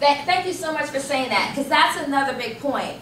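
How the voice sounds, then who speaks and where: An American woman presents a workshop in a noticeably high voice. She is animated and emphatic, tossing questions to the group. The sound is echoey and picked up from a distance, with faint noise behind her. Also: brisk. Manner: animated, emphatic, asks and answers own questions. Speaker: corporate trainer. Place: indoor training room. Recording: roomy, distant microphone with background noise.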